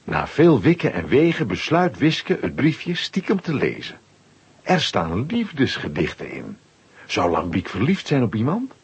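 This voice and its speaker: A man's voice: medium pitch, huge pitch swings, measured pace, husky. A man narrating a children's audio drama in scripted storytelling style, addressing listeners directly and playfully.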